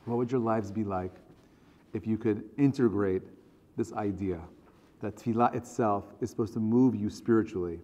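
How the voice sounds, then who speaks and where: A man's voice: fairly low pitch, normal speed, dark tone. A man giving a conversational religious lecture as a rabbi, earnestly putting questions to his listeners. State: voice strained, choking up. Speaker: rabbi teaching a class. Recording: reverberant hall.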